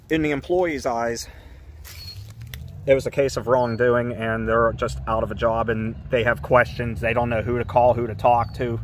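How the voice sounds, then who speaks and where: Casual male explorer vlogger, mid-pitch, talkative, faint outdoor noise. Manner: chatty, ranting, easily indignant. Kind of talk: casual walk-around narration. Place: outdoors around an empty brick building.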